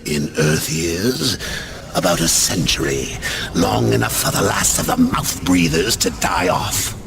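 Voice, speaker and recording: A man's voice: deep voice, lively intonation, measured pace, gravelly, background noise, exaggerated voice. A man voicing a sly, sadistic demon spirit in a video game cutscene, mocking and theatrical. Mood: sardonic.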